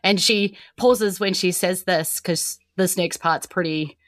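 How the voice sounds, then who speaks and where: A woman's voice: lowish voice, slow, a bit bright, faint music behind. A woman with a New Zealand accent improvising narration as game master of a tabletop role-playing game.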